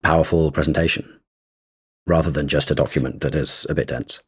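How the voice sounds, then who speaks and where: Very deep, husky man in casual chat. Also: fairly even intonation, a little fast. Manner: thoughtful, agreeable, many short affirmations. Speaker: podcast co-host.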